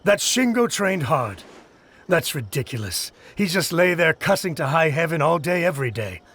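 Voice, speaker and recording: A scoffing husky villager. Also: male voice, fairly high pitch, very animated, normal speed, a bit bright, full character voice. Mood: scornful. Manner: dismissive, scoffing. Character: gossipy middle-aged villager man, dismissive.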